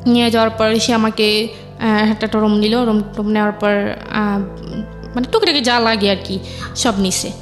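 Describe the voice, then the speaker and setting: A young woman with a Bangladeshi accent recounts personal experiences conversationally, in a halting way with repeated phrases. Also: mid-range voice, fairly even intonation. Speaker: interview guest telling her life story. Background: music.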